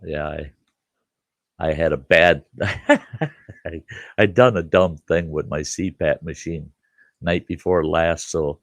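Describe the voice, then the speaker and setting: A slow-talking older man hosts a casual live chat, sounding congested and chuckling as he rambles. His voice is very low and gravelly, recorded close and dry. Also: no echo. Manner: relaxed, rambling, chuckles often, greets viewers by name. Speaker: livestream host.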